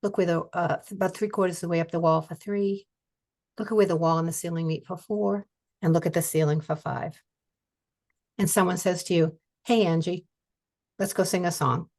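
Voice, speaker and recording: An older woman leading a guided tapping session over a video call, speaking slowly and calmly with phrases for her client to repeat. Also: fairly low pitch. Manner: slow, calm, repeats phrases for client to echo. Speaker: EFT tapping practitioner. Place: home office.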